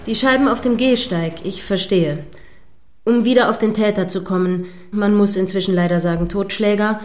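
A stern female news presenter in a radio play, acted by a woman. She has a mid-range voice and speaks in formal, well-articulated sentences. She comes across as prim, combative and sceptical, quick to correct. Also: mid-range voice, close to an everyday voice. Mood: businesslike, corrective.

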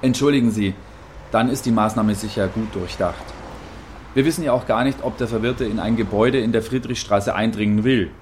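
A man's voice: on the low side, fairly quick, darkish tone, a little hiss or hum, natural voice. A man playing an eager, deferential field reporter in a radio play, giving a slightly hesitant, chatty eyewitness account. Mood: apologetic, deferential. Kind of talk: satirical radio play as live news report.

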